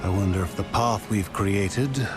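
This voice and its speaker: Man acting male wizard professor, low pitch, solemn, cautious, fantasy game cutscene, clear music bed. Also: unhurried, close to an everyday voice. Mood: solemn, wary.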